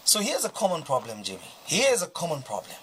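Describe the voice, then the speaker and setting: A Zambian host of a TV business show, asking emphatic, animated questions. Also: mid-range voice, brisk, breathy edge. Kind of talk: TV business talk show hosting.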